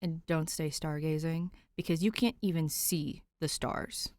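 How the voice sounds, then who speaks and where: A woman's voice: deep voice, measured pace, a little mellow, no room sound. A woman voicing an exasperated, protective young male masked vigilante, weary and dry, in an audiobook story. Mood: tired. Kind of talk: audiobook character dialogue.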